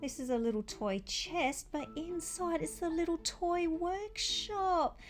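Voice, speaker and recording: A woman's voice: extremely high pitch, unhurried, faint music behind. A woman giving a casual, chatty review of a toy brick set, enthusiastic and informal, with exclamations.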